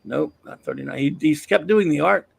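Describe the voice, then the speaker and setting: A man's voice: fairly high pitch, a little fast, gravelly, husky. A man hosting a podcast, chatting casually about comics in a blunt, opinionated way with many restarts. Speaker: podcast host.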